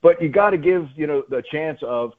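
A man's voice: on the high side, animated, normal speed. A man giving sports radio analysis as a play-by-play commentator, emphatic, often repeating words and asking rhetorical questions. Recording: muffled phone-like radio line.

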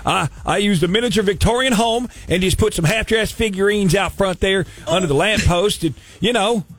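A man's voice: high-pitched, moderate pace, a bit bright. A man playing a folksy, gleeful Christmas village collector on a radio prank call, chatty with old-timey cowboy exclamations. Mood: cheery, eager.

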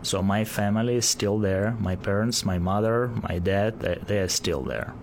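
A man from Ukraine with a clear Ukrainian accent answers interview questions in careful, halting English. His voice sits fairly low, and he pauses and restarts as he searches for words. A faint background hum runs under the recording. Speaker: guest, police officer. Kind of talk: radio interview.

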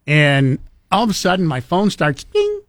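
A man hosting morning talk radio with a somewhat high voice. He rambles casually with fillers and laughs easily. The studio mic is close and dead dry. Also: wildly swooping pitch, normal speed, fairly bright tone, dry recording. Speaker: radio talk show host. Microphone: studio microphone.

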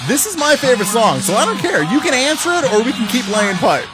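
A man plays a hapless male music director held captive in a comic radio play. The voice is very high and jumps around in pitch, weary and plaintive, with music clearly behind it. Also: big pitch swings, quick pace. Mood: exasperated. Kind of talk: comic radio drama acting.

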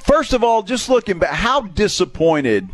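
A male sports radio co-host with a voice a little on the high side. He speaks casually and conversationally as he puts questions to a guest. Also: sing-song, normal speed.

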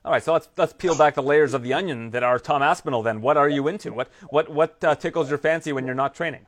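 A man's voice: a little high, fast. A man interviewing fighters, upbeat and inquisitive, often restarting his questions. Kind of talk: sports interview.

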